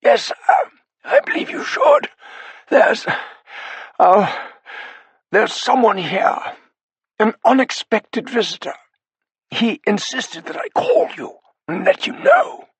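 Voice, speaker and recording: A frightened, strained manservant. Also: male voice, sits high, wildly swooping pitch, slow, pauses often, no room sound, pitched above the performer's own voice, full character voice. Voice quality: strained, muted, breathy. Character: frightened injured male manservant on the phone.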